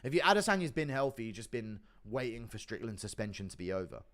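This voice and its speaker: A man's voice: mid-range voice, fast talker, fairly bright tone. A man hosting a casual livestream, reading donations and answering chat in a blunt, profane, offhand way. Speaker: livestream host.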